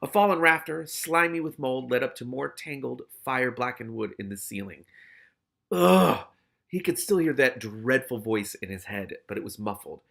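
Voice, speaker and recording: A man's voice: mid-range voice, moderate pace, gravelly, fairly bright tone. A man reading a novel aloud expressively, with casual, candid asides of his own.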